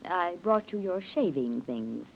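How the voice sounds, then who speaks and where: A woman's voice: fairly low pitch, sing-song, measured pace, vocal fry, slight background noise, exaggerated voice. An actress playing a cool, clipped, scheming woman of a wealthy household in a 1940s radio mystery. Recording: muffled vintage radio recording.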